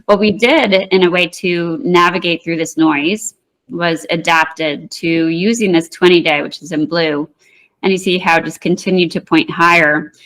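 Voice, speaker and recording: A woman with a fairly low voice talks through trading charts as a guest in an interview. She speaks in a measured, thoughtful way, often pausing on "um" and restarting phrases as she explains each setup. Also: a bit bright, low-quality recording. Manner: measured, with frequent fillers and restarts. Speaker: market technician guest.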